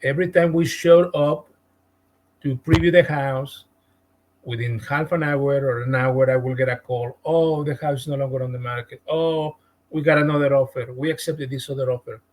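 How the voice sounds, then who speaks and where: A man with a Spanish-speaking Latin American accent, a real estate agent guest telling a story in an animated podcast interview. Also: medium pitch, normal speed, rough recording. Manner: animated, retells conversations with emphasis.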